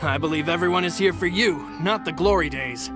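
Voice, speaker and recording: A man's voice: fairly high pitch, a little fast, close to an everyday voice. An actor playing a polite, loyal male attendant who speaks formally in a game. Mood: courteous. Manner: formal, courteous. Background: game soundtrack music.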